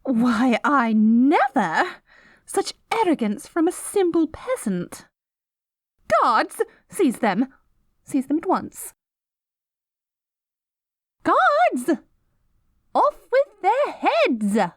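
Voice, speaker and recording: High, pompous, vain queen. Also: huge pitch swings, extremely slow, long pauses, no echo, studio quality, exaggerated voice. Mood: outraged. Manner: pompous, theatrical, mock-archaic diction. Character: haughty, vain, self-righteous good queen, secretly cruel. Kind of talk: scripted fantasy audio roleplay.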